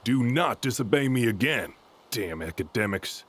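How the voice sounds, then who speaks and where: Audio drama role: rigid military commander, curt orders, medium-pitched authoritative voice. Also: male voice, animated, normal speed, fairly bright tone, breathy edge. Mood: stern. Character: stern, by-the-book male military commander.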